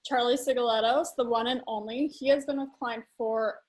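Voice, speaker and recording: A woman's voice: on the high side, fairly even intonation, moderate pace. A young woman hosting a virtual wine tasting in a friendly, chatty way with fillers. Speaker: host.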